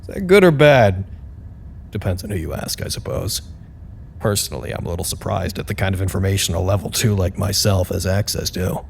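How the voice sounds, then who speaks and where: A bookish records-keeper in an audio drama, low in pitch and precise in his wording. He sounds dry and wry, with noticeable noise around the voice. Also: animated, a little fast, vocal fry. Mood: reflective. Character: bookish, dryly formal male researcher keeping records. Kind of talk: audio drama acting, journal-like narration and dialogue.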